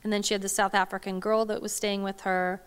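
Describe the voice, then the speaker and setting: Smooth-voiced woman lecturing from a podium. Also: mid-range voice, little pitch movement, fairly quick. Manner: hesitant, many fillers and restarts. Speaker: lecturer answering audience questions. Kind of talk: academic Q&A talk. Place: large hall with an audience. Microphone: podium microphone.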